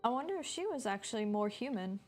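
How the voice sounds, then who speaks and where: A woman's voice: on the high side, sing-song, fast talker. A woman streamer giving casual, chatty, informal commentary as she plays a video game. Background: game music.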